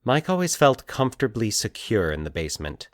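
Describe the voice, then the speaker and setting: A man narrating scripted eerie fiction in a measured, deliberate, formal storytelling manner. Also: on the low side, very animated, no echo, studio quality.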